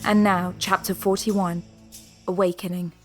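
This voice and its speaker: A woman's voice: fairly low pitch, flat delivery, a little slow. A woman reading scripted dramatic narration for an audio drama. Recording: music under the voice. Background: background music.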